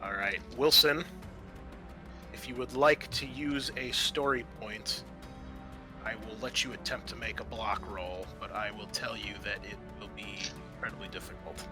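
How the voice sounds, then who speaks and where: A man acting as game master, narrating and refereeing a horror roleplaying game in a halting way with stretched, dramatic emphasis. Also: on the low side. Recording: background music under the voice.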